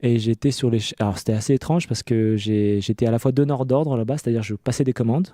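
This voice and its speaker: A man in his early thirties from France talks as an interview guest. His voice is very warm and relaxed, at an average pitch, and recorded dry. He tells his story conversationally, hesitating and restarting as he searches for words. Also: dry recording. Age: early 30s. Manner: hesitant, halting delivery with many self-repetitions. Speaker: interview guest, clothing maker.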